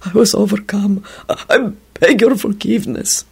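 Woman putting on a creepy breathy goblin, lustful and fawning. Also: mid-range voice, darkish tone, voice pushed up. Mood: wretched. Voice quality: breathy, groaning, rattling. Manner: slow, drawn-out, pleading delivery.

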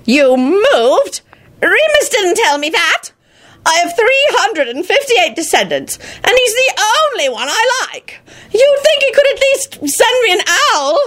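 A woman's voice: extremely high pitch, very animated, measured pace, a bit bright, voice pushed up, full character voice. A woman voicing a haughty, scolding, very old male wizard grandfather in a storybook reading, with pompous old-fashioned phrasing. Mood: grumbling.

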